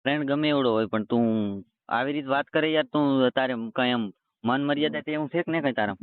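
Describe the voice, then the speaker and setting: Fast-talking man on a tinny phone line. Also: medium pitch, clean tone, no echo. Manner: colloquial, stubborn, confrontational. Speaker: roast video creator taking a call. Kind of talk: heated phone argument. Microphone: phone call.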